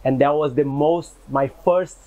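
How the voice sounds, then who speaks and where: Brazilian man, coach type, upbeat, halting delivery, dull recording. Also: a little high, steady tone, mellow. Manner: upbeat, halting with many fillers. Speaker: football coach. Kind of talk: interview answers. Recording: slightly dull, lo-fi sound.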